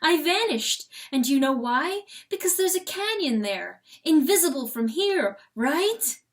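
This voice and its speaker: A woman voicing a carefree, gentle and delighted female coyote in a dramatic storybook reading. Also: high voice, very animated, a little slow, pitched above the performer's own voice. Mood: exultant. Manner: breathless, exultant, laughing.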